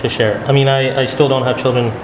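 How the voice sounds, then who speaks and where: A man leading a religious study circle, explaining calmly and often checking in with "right?". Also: medium pitch, fast. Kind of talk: religious talk to a group. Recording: echoey hall with noise.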